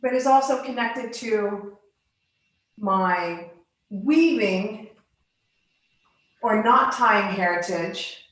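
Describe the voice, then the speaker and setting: A woman from the Bahamas and Virgin Islands, a glass artist giving a casual guided tour in an informal, halting way with pauses between phrases. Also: mid-range voice, expressive, a bit bright. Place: living room of a home studio. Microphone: phone on a selfie stick. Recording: echoey room, live video stream.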